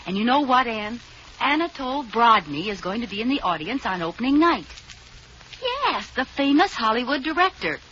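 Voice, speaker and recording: A woman's voice: on the high side, very animated, normal speed, sharp tone. An actress playing a playful, scheming young wife in a 1940s radio sitcom, coaxing her husband. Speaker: lead actress. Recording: muffled old radio broadcast with hiss.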